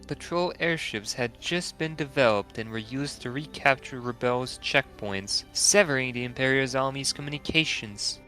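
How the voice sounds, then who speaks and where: Elderly game queen, played by a man, gracious and formal, bright tone, measured delivery, music underneath. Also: medium pitch, close to an everyday voice. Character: dignified, gentle elderly queen recounting past war. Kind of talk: voiced video game playthrough. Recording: music behind the voice.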